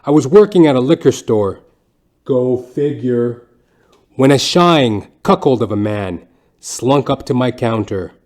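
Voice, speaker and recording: A man's voice: medium pitch, animated, unhurried, darkish tone. A man narrating a scripted comic story in a theatrical, mock-grandiose, wry manner. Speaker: comedy podcast host, storyteller. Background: music.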